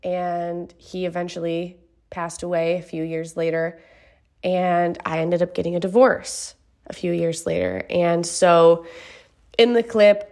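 A woman with a slightly low voice talks in a relaxed, chatty way about her work as an author. She sounds a little stuffy, as if fighting a cold, and keeps things earnest and personal, often tossing in a quick "right?" to the listener. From Michigan. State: stuffy nose. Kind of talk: casual chat.

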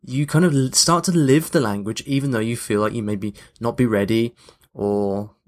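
A man's voice: mid-range voice, normal speed. Conversational man hosting a podcast. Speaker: podcast host. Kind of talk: casual chatty monologue to listeners.

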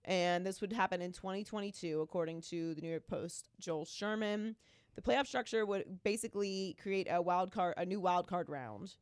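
A woman in her thirties hosting a casual sports podcast, her voice hoarse from illness, talking in an animated, emphatic way. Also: lowish voice, normal speed. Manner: animated, emphatic, riffs with rising exclamations. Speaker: podcast host.

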